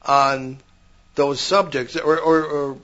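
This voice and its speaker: A man's voice: medium pitch, little pitch movement, takes it slowly, bright, forceful tone, highs cut off. An older man co-hosting a paranormal podcast, telling long stories with frequent restarts in casual chat. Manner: rambling, many restarts, storytelling.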